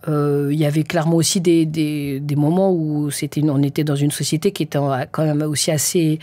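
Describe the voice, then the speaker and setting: A woman's voice: sits low, level tone, brisk, warm tone, no room sound. A woman in her forties from France, a guest conductor answering interview questions in an earnest, hesitant way with many fillers. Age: 40s. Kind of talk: casual interview talk.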